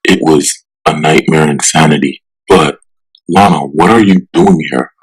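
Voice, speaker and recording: A man's voice: extremely low pitch, a little slow, husky, exaggerated voice, low-quality recording. An actor playing an earnest, troubled superhero searching for his origins, in a dramatic motion comic style. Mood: bewildered, troubled. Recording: loud music behind the voice. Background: dramatic music.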